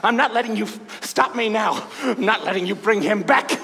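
A man playing a gleefully greedy, foul-mouthed conman wizard in a comic staged reading, boastful and theatrical, swinging into shouts. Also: high-pitched, moderate pace, creaky, full character voice. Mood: menacing. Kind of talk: comedic stage villain monologue at a staged reading. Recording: reverberant hall, faint noise.

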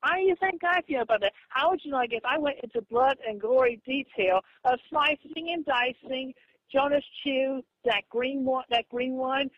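High-voiced woman on a muffled phone line. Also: no echo. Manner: halting, repetitive, formal wording. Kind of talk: aggrieved phone conversation. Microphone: telephone.

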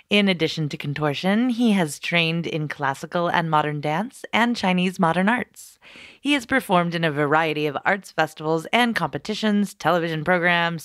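A woman's voice: fairly low pitch, dry recording, clean studio sound. A woman hosting a podcast, chatty and playful, recorded at home in a bathroom. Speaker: podcast host. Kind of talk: casual podcast intro.

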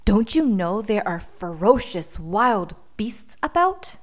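A woman's voice: medium pitch, sing-song, slow pace. A woman voicing a timid big male bear in a storybook, hesitant and stammering. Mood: warning.

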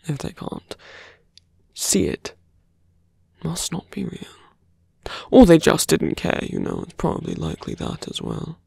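A man acts out a sardonic, seductive fantasy villain in an ASMR roleplay. His voice is breathy and husky, heavy with fry. He murmurs slowly and intimately, very close to the microphone in a dry recording. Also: fairly high pitch, wildly swooping pitch, a little mellow, no room sound, exaggerated voice. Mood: confiding, bitter.

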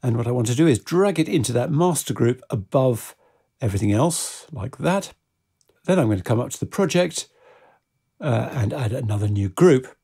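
A man giving an unscripted software tutorial, methodically explaining each step as he works, in a gravelly, husky voice. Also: mid-range voice, expressive, normal speed.

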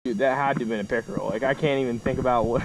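A young man chatting excitedly and casually while fishing, in slangy, exclamatory bursts full of "bro" talk, out on a small open boat. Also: mid-range voice, racing. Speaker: angler filming a fishing vlog. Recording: noisy outdoor action-camera audio on water.

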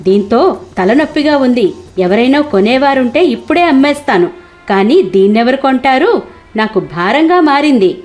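A woman's voice: high-pitched, expressive, moderate pace, darkish tone, faint music behind, pitched above the performer's own voice. A woman voicing a bad-tempered, scolding male merchant who grumbles in exasperation in a cartoon moral story. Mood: fed up.

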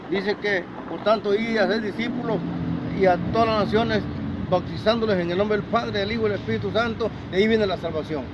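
A middle-aged man preaching fervently, answering questions outdoors in a parking lot, recorded on a handheld phone. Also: high voice. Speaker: street preacher. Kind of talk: street interview answers. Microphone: phone camera held by interviewer. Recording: dull phone audio with traffic noise. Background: traffic noise.

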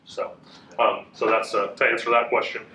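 A man in his thirties teaching a class in a casual, chatty way, with a loud voice that carries and many fillers. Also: fairly low pitch, flat delivery, moderate pace. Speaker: Bible teacher. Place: classroom with a whiteboard, indoors. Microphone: room mic from a fixed camera. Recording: echoey room, voice a bit far off.

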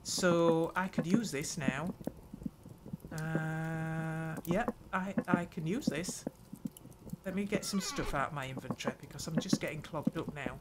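Unhurried older woman narrating gameplay. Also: low voice, very noisy. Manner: unhurried, muttering thoughts aloud while playing. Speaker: gaming channel host. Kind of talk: let's play commentary.

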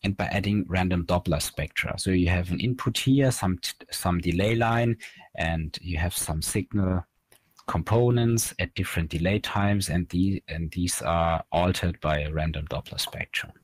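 A man in his thirties delivers a technical online lecture in a low voice. He explains step by step in a measured, slightly halting manner. The sound is dry, with very little room echo. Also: slightly rough. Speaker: researcher presenting at an online academy.

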